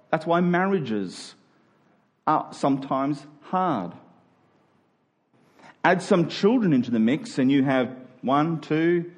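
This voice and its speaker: A man's voice: fairly high pitch, darkish tone. A man preaching a sermon to a congregation in an emphatic style, using rhetorical questions and repetition.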